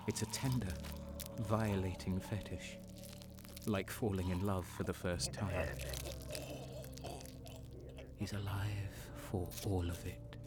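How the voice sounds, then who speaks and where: A man plays a cold, sadistic soldier telling his own tale in an audio drama. His voice is fairly low and he takes his time, deliberate and intense, over a music score. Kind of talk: dramatic first-person audio drama narration.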